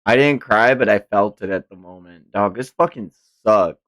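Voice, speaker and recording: A man's voice: on the low side, normal speed, a bit bright. A man streaming a comic read-along, narrating dramatically and reacting in casual, profane language.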